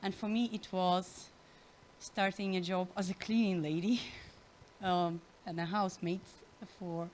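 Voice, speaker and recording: A woman's voice: on the low side, steady tone, a little slow, darkish tone, slight background noise. A woman from Ukraine with a Ukrainian accent, telling her personal story on a conference stage, hesitant and laughing often. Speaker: conference speaker, former student.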